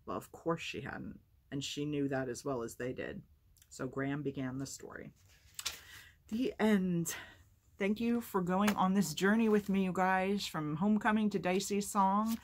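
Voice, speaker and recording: A woman with a low, husky voice hosting a storytime and narrating a book. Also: fairly even intonation, a little slow. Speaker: storytime reader and host.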